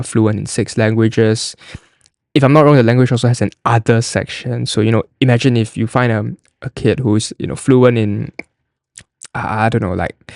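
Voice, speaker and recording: A man's voice: medium pitch, a little mellow, no echo, clean studio sound. A young man from Singapore, hosting an admissions podcast and walking through an application form in a chatty, loose way with frequent restarts. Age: young adult. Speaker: college admissions podcast host.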